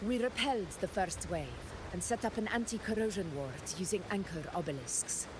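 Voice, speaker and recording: A woman's voice: mid-range voice, animated, background noise, natural voice. An actress voicing a proud, battle-hardened woman who leads warriors in a video game, speaking in a grave, declamatory manner. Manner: solemn, declamatory, measured. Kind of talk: dramatic video game voice acting.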